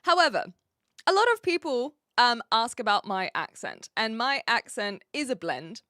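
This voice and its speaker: A woman's voice: on the high side, big pitch swings, normal speed, pushed and bright, no echo, studio quality. Chatty streamer woman, blended British-leaning accent. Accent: blended New Zealand and British-leaning. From New Zealand. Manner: chatty, rambling, laughs often, answers chat directly. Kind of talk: casual live stream chat reading viewer messages.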